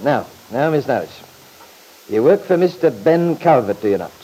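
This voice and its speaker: An actor playing a patient, courteous lawyer who coaxes a witness along in an old-time radio drama. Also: male voice, medium pitch, animated, moderate pace, dark tone, husky. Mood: patient, probing. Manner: coaxing, courteous, folksy. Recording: noisy old radio recording.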